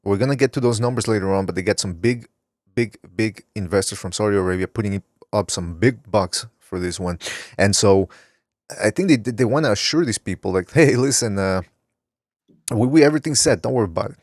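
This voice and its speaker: A man with a fairly low voice hosts a loose, rambling podcast and keeps reading listener comments from the chat room aloud. His voice sounds close and dry, with no room behind it. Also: brisk, darkish tone, dry recording. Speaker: podcast host. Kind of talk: casual talk show chat.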